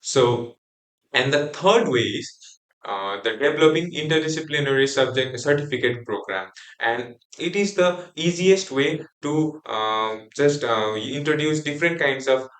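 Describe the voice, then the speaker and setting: A man's voice: mid-range voice, unhurried, a bit bright. A young man from Nepal, a native Nepali speaker with a Nepali accent, giving a class group presentation with many fillers and restarts. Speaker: student presenter. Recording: echoey room.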